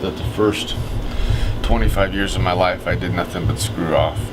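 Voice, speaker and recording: A man in his mid-thirties, an amateur filmmaker, talking through a halting, rambling personal video diary full of fillers. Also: low-pitched. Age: 30s. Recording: loud background noise.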